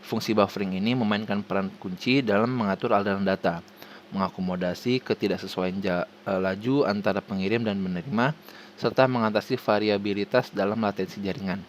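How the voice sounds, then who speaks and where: A man with an Indonesian accent reading a formal scripted presentation haltingly, stumbling over words and restarting them. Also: fairly low pitch, fairly even intonation, slight background noise. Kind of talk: scripted presentation read aloud.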